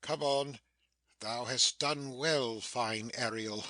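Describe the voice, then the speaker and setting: A man's voice: medium pitch, slow, sharp tone, very echoey, big-room sound. A man playing a commanding older sorcerer and exiled duke in a dramatic Shakespeare audiobook.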